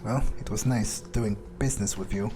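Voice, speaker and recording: A man's voice: lowish voice, moderate pace, over music. A man playing a video game fully in character, talking in a dry, blunt, laconic way with some cursing. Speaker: gamer roleplaying his character. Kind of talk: in-character game roleplay commentary.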